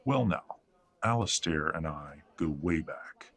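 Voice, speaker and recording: Man playing a deep, husky, menacing patriarch. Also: animated, fairly bright tone, full character voice. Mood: smug. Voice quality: husky, breathy. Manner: smug, deliberate, condescending. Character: menacing, controlling werewolf patriarch, middle-aged or older father. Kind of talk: audio drama acting.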